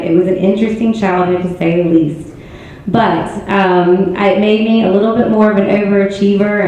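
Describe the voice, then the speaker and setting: A hoarse woman in her forties with a Southern American accent, giving an earnest, self-assured campaign speech at a candidate forum. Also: mid-range voice, darkish tone. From Georgia, US. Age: 40s. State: hoarse, strained voice. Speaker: political candidate. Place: city auditorium. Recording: echoey hall, muffled sound.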